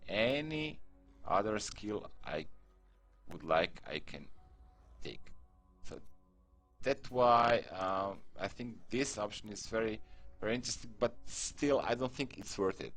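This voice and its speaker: A man with a Croatian accent walks through a game tutorial in unhurried, halting English, full of pauses and restarts. His voice sits low. Faint music plays beneath him. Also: expressive, a bit bright. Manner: halting, many fillers and restarts. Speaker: gaming tutorial creator.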